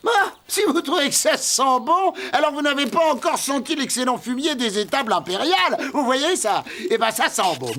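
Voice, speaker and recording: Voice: sits high, animated, moderate pace, few pauses, bright, forceful tone, a little hiss or hum, heavily acted. An actor playing a vain, indignant, boastful scarab beetle in a children's cartoon, with a pompous, whiny, theatrical delivery. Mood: scornful, boastful. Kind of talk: French-dubbed children's cartoon acting.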